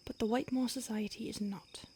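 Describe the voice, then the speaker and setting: A woman's voice: a little high, fairly even intonation, brisk, warm tone, slight background noise, lightly acted. A woman voicing an ancient female vampire on the run, speaking in an urgent whisper in an audio roleplay. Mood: hushed urgent.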